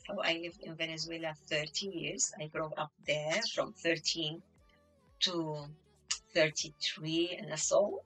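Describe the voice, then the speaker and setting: A woman's voice: low voice, steady tone, unhurried, a bit bright, faint music behind, rough recording. A woman in her sixties, raised in Lebanon, telling her life story as an interview guest, with restarts and fillers. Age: 60s. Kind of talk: conversational interview.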